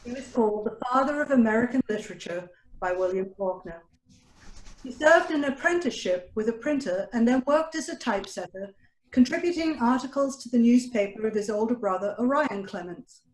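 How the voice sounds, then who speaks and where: A woman with a fairly high voice reads a scripted introduction as a presenter. The sound is roomy and echoey, like a video call from indoors. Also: lively intonation, fairly quick. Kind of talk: scripted introduction read aloud.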